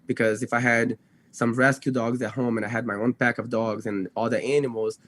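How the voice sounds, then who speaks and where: A man's voice: medium pitch, little pitch movement, a little fast, fairly bright tone, no room sound. A young man from Brazil, a native Portuguese speaker, telling his own story casually as a podcast guest, rambling and often correcting himself. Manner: rambling, self-correcting, frequent "you know" and "like". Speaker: podcast guest, dog trainer.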